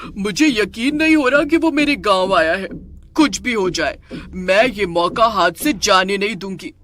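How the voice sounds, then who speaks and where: A woman voicing a wicked witch ghost in an animated story, exclaiming in a theatrical, menacing way. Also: medium pitch, measured pace, lightly acted. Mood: excited, gleeful. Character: wicked female witch ghost, gleeful then enraged. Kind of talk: animated moral story character acting.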